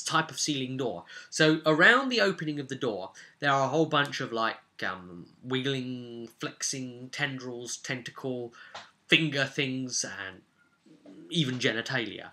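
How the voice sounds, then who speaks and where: A man's voice: medium pitch, lively intonation, normal speed, bright, forceful tone. A man hosting a vlog as a game master, explaining casually in a rambling, emphatic way and repeating words for emphasis. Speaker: game master and vidcast host.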